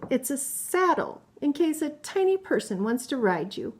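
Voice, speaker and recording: A woman's voice: high-pitched, big pitch swings, normal speed, darkish tone, voice pushed up. A woman voicing a small pushy dog who thinks it is a horse, bossy and over-eager.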